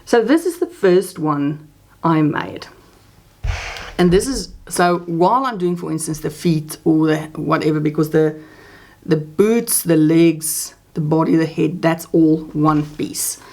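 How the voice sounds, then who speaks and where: Low gravelly woman chatting about crochet. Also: measured pace, darkish tone. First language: Afrikaans. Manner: chatty, rambling with many restarts and fillers. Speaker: crochet channel host. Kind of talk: casual crafting chat.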